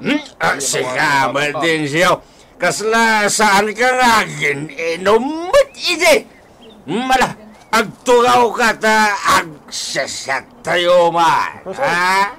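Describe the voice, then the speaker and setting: A man's voice: sits high, very animated, very slow, creaky, sharp tone, exaggerated voice. A man playing a drunken, boastful but fond father in a radio comedy drama, slurring and repeating himself with a Filipino accent. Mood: drunk, coaxing.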